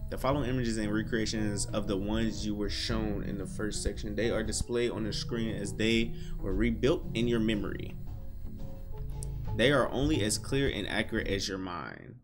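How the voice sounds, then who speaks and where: A young man in a casual reaction style, reading text aloud and breaking in with slangy, animated exclamations. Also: fairly low pitch, moderate pace, over music. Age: young adult. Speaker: reaction video host. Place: small room indoors.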